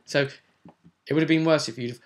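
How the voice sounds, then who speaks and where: A man's voice: fairly high pitch, steady tone, fast. A man chatting casually as a game master, recapping a tabletop role-play session and acting out bits as he goes.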